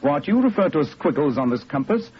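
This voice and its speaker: An actor playing a brilliant, cool English detective with a precise, superior manner in 1940s radio drama. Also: male voice, fairly high pitch, sing-song, fairly quick, husky. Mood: absorbed, superior. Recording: dull, noisy old radio recording.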